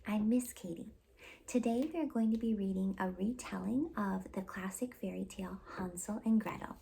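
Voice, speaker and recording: A woman hosting storytime, reading a children's picture book aloud in an expressive, deliberate storytelling delivery. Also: mid-range voice, vocal fry. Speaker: storytime host and reader.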